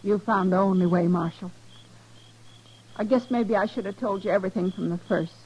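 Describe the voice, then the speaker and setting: A vengeful, grimly resolute widow of the Old West, played by a radio actress. Her voice sits at a middle pitch, in 1950s drama style, on a dull lo-fi recording. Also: moderate pace, a little mellow, slightly rough, close to an everyday voice. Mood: resigned. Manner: defiant, grimly resigned. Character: bitter, vengeful frontier widow, resolute killer.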